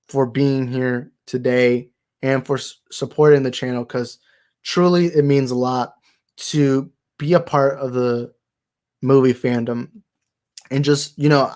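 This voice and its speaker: A young man hosting a movie talk livestream, tired and slightly raspy, rambling and thanking his viewers. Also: medium pitch. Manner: rambling, grateful, repeats himself. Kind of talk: casual livestream sign-off chat.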